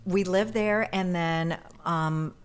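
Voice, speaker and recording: A woman's voice: fairly low pitch, slow, smooth. A woman from Hawaii, a performer and podcast guest, telling stories in a playful, self-deprecating way during a casual interview chat. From Hawaii, then Mississippi.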